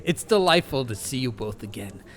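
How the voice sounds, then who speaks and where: A man voicing an urbane, witty London gentleman in an audiobook, with flowery, formal, old-fashioned phrasing. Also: mid-range voice, lively intonation, normal speed, pitched above the performer's own voice, close to an everyday voice. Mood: warm, cordial. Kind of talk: audiobook character dialogue. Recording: music under the voice.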